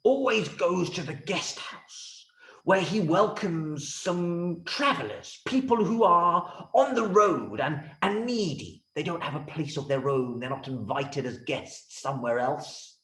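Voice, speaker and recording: A man's voice: on the high side, expressive, a little slow, fairly bright tone. A man voicing a scheming, fawning prime minister in a children's story, stammering and insinuating as he talks. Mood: conspiratorial. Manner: stammering, throat-clearing, insinuating. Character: scheming, obsequious male prime minister, sly and bumbling. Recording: roomy, echoey room sound.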